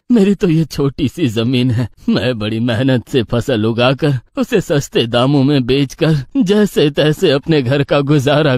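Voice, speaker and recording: A man's voice: mid-range voice, darkish tone, no echo, lightly acted. Man acting a grief-stricken poor old village farmer, dry sound. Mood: lamenting. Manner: pleading, lamenting. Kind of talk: Hindi cartoon moral story dialogue.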